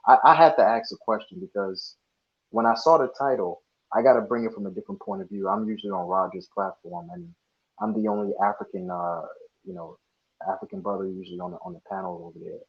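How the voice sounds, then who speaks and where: A man's voice: lowish voice, fast talker. A young man born in Ghana and raised in the States, talking earnestly as a guest on an online panel, often restarting his sentences. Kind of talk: casual online panel discussion. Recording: dull lo-fi audio.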